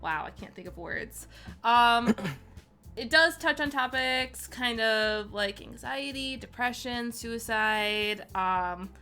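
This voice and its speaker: A woman's voice: medium pitch, sharp tone. A woman hosting a livestream in a casual, chatty style. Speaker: streamer, host.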